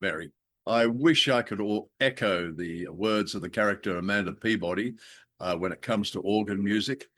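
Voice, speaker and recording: A man co-hosts a casual review chat with an enthusiastic, rambling manner full of fillers. His voice sits somewhat low. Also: moderate pace, fairly bright tone. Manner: enthusiastic, wordy with many uh fillers. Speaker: podcast co-host.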